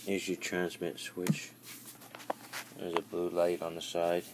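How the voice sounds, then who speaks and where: A man giving a casual hands-on equipment demonstration, instructing in a halting way over faint background noise. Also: low-pitched, completely flat delivery. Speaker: demonstrator of a CB radio.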